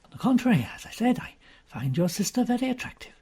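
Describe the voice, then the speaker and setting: A woman's voice: on the high side, very animated, fast, dark tone, husky, voice pushed up, exaggerated voice. A woman reading an audiobook, voicing an awkward, earnest young man who speaks stiffly and a little dogmatically. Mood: polite.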